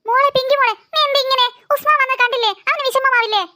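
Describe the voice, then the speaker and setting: A woman's voice: very high voice, steady tone, fast, sharp tone. A woman voicing a fussy, concerned mother in a children's cartoon, coaxing and nagging.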